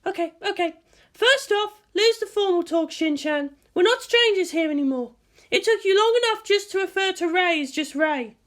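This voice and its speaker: An exasperated woman guardian from an anime-style story, acted by a woman reader. She speaks high and emphatically, insistent and bossy, yet friendly underneath. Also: animated, moderate pace. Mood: firm, placating.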